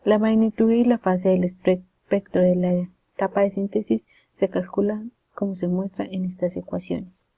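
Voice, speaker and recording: A woman's voice: medium pitch, flat delivery, moderate pace, gravelly, dark tone, breathy edge, no echo. A woman presenting a scripted technical lesson in a formal, methodical way, reading it out step by step. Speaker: presenter explaining signal processing.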